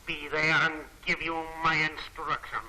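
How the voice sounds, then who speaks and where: An actor playing a stern, clipped crime-gang dispatcher giving orders over shortwave radio in a 1940s film serial. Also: male voice, on the high side, level tone, unhurried, sharp tone. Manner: clipped, authoritative. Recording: noisy old film, shortwave radio sound.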